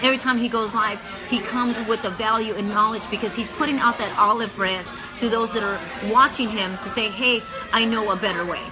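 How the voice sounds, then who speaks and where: Upbeat high-voiced woman hosting a livestream. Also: steady tone, fairly quick, hardly any pauses, rough recording. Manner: upbeat, encouraging, interjects often. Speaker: livestream host. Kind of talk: casual livestream chat. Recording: background music and crowd noise.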